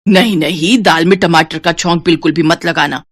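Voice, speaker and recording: A woman's voice: on the low side, moderate pace, dry recording, clean studio sound, natural voice. A woman voicing a scolding, sarcastic older mother-in-law in a moral story cartoon. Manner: nagging, sarcastic. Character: scolding, taunting older mother-in-law, grumbling about daughters-in-law.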